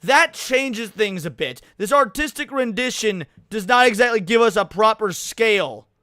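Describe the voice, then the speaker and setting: A man's voice: sits high, lively intonation, normal speed, bright, forceful tone, no room sound, clean studio sound. A man reacting casually to a video on a livestream, talking in slang and swearing.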